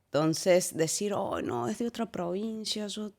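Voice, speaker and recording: A woman in her forties from Argentina with a Córdoba accent, telling her life story as a guest in a relaxed interview, talking haltingly, repeating words and laughing easily. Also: mid-range voice.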